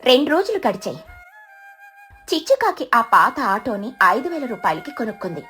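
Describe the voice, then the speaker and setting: A woman's voice: a little high, very animated, normal speed, bright, forceful tone, faint music behind. A woman giving scripted narration for a children's cartoon story.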